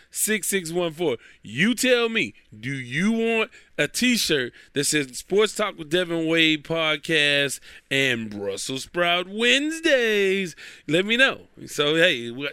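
A man's voice: a little high, sharp tone, smooth, dry recording, clean studio sound. A man hosting his own podcast in casual, rambling talk, nasal and stuffy from a cold. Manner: rambling, chatty, repeats himself. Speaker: podcast host.

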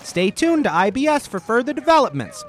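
A woman acts a rabbit TV reporter in a parody news broadcast for radio comedy. The voice is high and very animated, urgent and insistent, with overblown drama. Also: a little fast. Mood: formal sign-off. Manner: urgent, probing, overdramatic.

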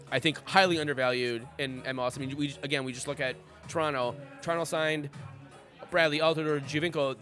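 Rambling, swearing podcast host. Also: male voice, medium pitch, a bit bright. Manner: rambling, profane, many fillers and restarts. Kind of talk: casual sports chat.

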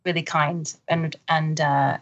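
Hesitant, low-voiced woman translator. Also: level tone, slow pace, muffled recording. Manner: modest, hesitant, many ums and nervous laughs. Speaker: literary translator. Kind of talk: conversational interview answers.

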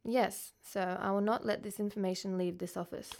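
An Australian actress playing an earnest, polite school counsellor and teacher in a radio drama. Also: female voice, medium pitch, fairly even intonation, normal speed, gravelly, a little mellow, close to an everyday voice. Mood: polite, hesitant.

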